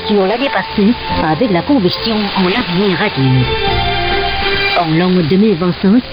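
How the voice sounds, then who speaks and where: A woman radio announcer reading a formal scripted address in a measured, declamatory way over a noisy shortwave broadcast. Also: medium pitch, expressive, loud music behind the voice. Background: loud static hiss.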